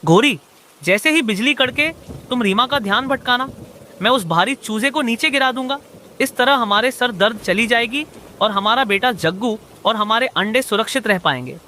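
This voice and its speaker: A man's voice: very high voice, lively intonation, normal speed, bright, forceful tone, a little hiss or hum, heavily acted. A man voicing a scheming crow husband and father in a dramatic, emphatic cartoon style. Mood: plotting. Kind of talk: Hindi moral-story cartoon dialogue.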